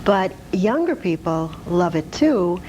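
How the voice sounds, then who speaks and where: Enthusiastic woman guest, low voice. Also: very animated, warm tone, slight background noise. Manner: enthusiastic and earnest, with thinking pauses. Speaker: dance troupe director and guest. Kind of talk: interview answers.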